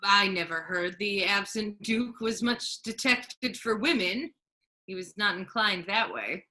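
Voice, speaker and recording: Bright, dignified friar, played by a woman. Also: mid-range voice. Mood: polite, incredulous. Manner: measured, formal, righteous. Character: Duke disguised as a male friar, dignified, indignant. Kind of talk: staged reading of Shakespeare.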